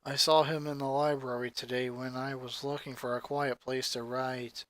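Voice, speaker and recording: A reader voicing a stoic, gloomy eight-year-old goth girl in a flat, hushed deadpan for a story. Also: medium pitch, normal speed, no room sound, voice pushed down, natural voice. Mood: somber.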